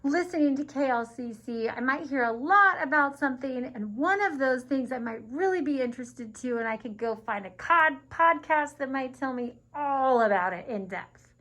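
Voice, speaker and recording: A woman's voice: sits high, lively intonation, moderate pace, bright, forceful tone. A woman speaking informally and encouragingly as a teacher, moderating children's questions in a casual, friendly way. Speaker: teacher hosting a student question session.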